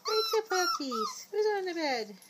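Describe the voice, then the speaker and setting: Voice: very high voice, huge pitch swings, measured pace. A person cooing in sing-song baby talk to puppies, repeating short affectionate phrases. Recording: noisy home recording, whimpering puppies. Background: whimpering puppies, clicks.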